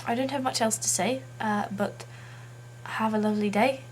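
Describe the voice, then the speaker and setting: A woman chatting casually to camera as a video blogger, halting as she tries out a put-on accent. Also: on the high side, fairly even intonation, a little hiss or hum, low-quality recording.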